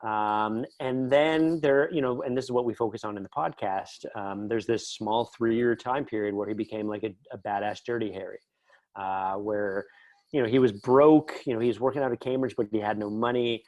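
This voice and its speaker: A man's voice: lowish voice, steady tone, moderate pace, darkish tone, no room sound. A middle-aged Canadian man chatting casually and enthusiastically as an interview guest, going off on tangents. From Northern Ontario, Canada. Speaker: podcast guest, writer.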